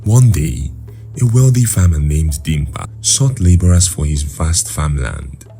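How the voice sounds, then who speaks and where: Male folktale narrator: dark, mellow tone, lively intonation, measured dramatic delivery. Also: extremely low pitch, faint music behind. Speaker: storyteller.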